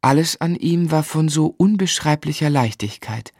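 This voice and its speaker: An actor playing a polite gentleman who narrates his own youth in a composed, literary radio drama style. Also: a little high, moderate pace, no room sound, studio quality. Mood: admiring, reflective.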